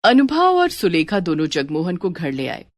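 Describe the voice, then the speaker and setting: A woman's voice: fairly low pitch, wildly swooping pitch, normal speed, no echo. Woman narrating a story, dry sound.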